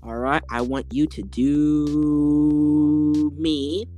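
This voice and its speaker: A woman's voice: deep voice, little pitch movement, extremely slow, warm tone, faint music behind. A woman co-hosting silly banter, giggly and teasing. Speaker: co-host.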